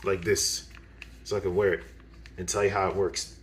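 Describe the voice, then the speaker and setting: Low-voiced man over clear background noise. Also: flat delivery, moderate pace. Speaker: video host demonstrating gear. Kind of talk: casual product demonstration. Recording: clearly noisy recording.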